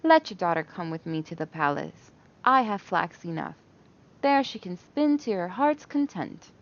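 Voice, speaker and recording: A woman's voice: lowish voice, very animated, normal speed, highs cut off. A woman voicing an imperious middle-aged queen in a storybook reading. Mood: pleased. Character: imperious, approving fairy-tale queen, middle-aged mother.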